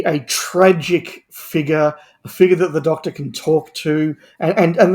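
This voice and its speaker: A man speaking as a podcast guest in an opinionated discussion, stressing his points by repeating words and phrases. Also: on the high side. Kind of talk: animated opinionated panel discussion.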